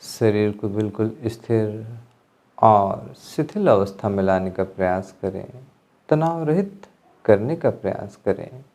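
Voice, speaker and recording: A man giving guided meditation instruction, speaking calmly in measured phrases with pauses as an instructor. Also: fairly low pitch. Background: music.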